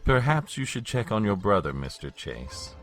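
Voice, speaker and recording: A man's voice: on the low side, sing-song, moderate pace, over music. An actor playing a suave, menacing vampire lord in an audio drama, mock-polite and theatrical, drawing out his taunts. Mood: gloating.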